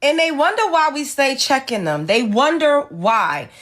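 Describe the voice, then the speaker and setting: A woman's voice: high-pitched, big pitch swings, a little slow, over loud music. A woman from the United States delivering a sassy, emphatic opinion rant to her viewers, lecturing as she reads and reacts. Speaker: commentator reacting to clips.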